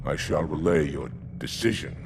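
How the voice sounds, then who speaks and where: A man playing a proud, indignant alien commander in a game, with a gravelly voice and formal, stern speech. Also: a bass voice, expressive, moderate pace, noisy background, exaggerated voice. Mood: stiffly deferential. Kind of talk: game cutscene voice acting. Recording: loud game score underneath.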